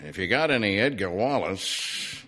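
A man's voice: on the low side, animated, a little slow, sharp tone, voice pushed down, exaggerated voice. A man voicing a nine-year-old talking horse in a story, folksy and ungrammatical, polite but blunt. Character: talking horse, nine years old, plainspoken, stubborn, unrefined.

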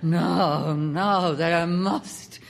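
A woman's voice: on the low side, steady tone, gravelly, heavily acted. A woman voicing the greedy, maddened spirit voices of a haunted house in a story, wailing and repeating in ecstasy. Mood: insistent, fading. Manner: ecstatic, wailing, drawn-out repetition.

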